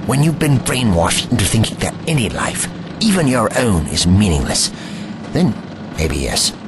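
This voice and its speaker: A man's voice: sing-song, normal speed, music in the background. A man playing an impassioned, principled hero in an audio drama, emphatic and moralising as he pleads for life. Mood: grave, insistent. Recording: vehicle engine noise behind. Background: rumbling vehicle.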